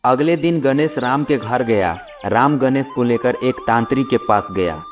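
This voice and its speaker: A man's voice: medium pitch, expressive, unhurried. A man narrating a scripted moral tale for children as the storyteller. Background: background music.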